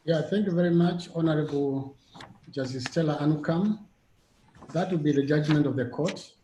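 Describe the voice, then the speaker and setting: Mellow man presiding, echoey noisy room. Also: a little high, normal speed. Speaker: presiding judge, court president. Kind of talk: formal courtroom chairing. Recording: echoey room with clear background noise.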